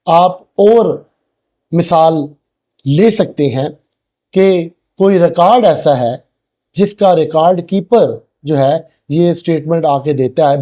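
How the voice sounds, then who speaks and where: A man with a Pakistani accent giving a law lecture, explaining slowly and deliberately, dropping English legal phrases between his sentences. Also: a little high, mellow.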